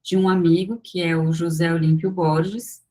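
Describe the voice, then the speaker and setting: A woman giving an academic talk over a video call, measured and full of small fillers and restarts as she walks through slides. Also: on the low side, flat delivery, dark tone. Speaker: guest lecturer.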